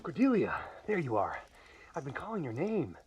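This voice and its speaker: An actor playing a worried companion in a radio drama, anxiously questioning someone. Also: male voice, on the high side, wildly swooping pitch, moderate pace, a little mellow, slight background noise. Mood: concerned. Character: Logan, worried companion searching for a woman. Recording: distant, muffled, lo-fi.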